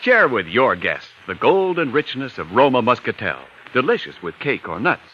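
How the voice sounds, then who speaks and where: An American man announcing and pitching a sponsor in polished 1940s radio style, like a practised salesman. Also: very animated, moderate pace, pushed and bright. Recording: dull, noisy 1940s radio recording.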